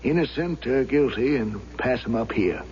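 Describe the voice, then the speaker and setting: An actor playing a practical jury foreman in a radio drama, informal, folksy and deliberate. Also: mid-range voice, expressive, darkish tone, natural voice. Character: plain-spoken, practical male jury foreman. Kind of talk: 1970s radio drama acting. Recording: muffled old radio, music behind.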